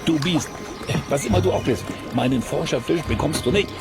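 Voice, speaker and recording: A man playing a curious, excitable, good-humoured explorer in a children's audio play, chattily exclaiming and muttering to himself. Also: fairly high pitch, fairly quick, vocal fry, over loud music. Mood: alarmed, defiant. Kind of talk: German children's radio play acting.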